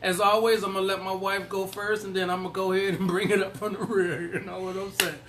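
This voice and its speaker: A man's voice: high-pitched, level tone, quick pace, a bit bright, slight background noise. A man co-hosting a casual chat show with his wife, joking and playful. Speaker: co-host.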